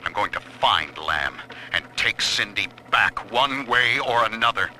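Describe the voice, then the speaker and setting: Low, tense, determined father. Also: lively intonation, measured pace, pushed and bright, full character voice. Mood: grim, resolute. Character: grim, determined father hunting for his taken daughter. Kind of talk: dramatic game audio-log monologue. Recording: faintly noisy, radio-like audio log.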